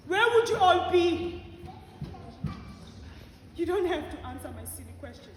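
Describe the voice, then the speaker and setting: An actress plays a defiant, wounded South African mother standing trial, her voice high and theatrical. It rings through a big echoey auditorium, picked up from far away with noise behind it. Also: expressive, a little slow. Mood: anguished, indignant. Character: defiant, grieving 32-year-old mother on trial. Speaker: stage actress. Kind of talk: theatrical courtroom stage acting. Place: large auditorium stage.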